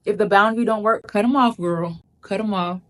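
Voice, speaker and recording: A woman's voice: mid-range voice, brisk. Chatty woman in her thirties giving advice. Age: 30s. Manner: chatty and emphatic, calls viewers girl and baby; repeats lines for emphasis. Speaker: vlogger. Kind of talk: casual advice talk to camera.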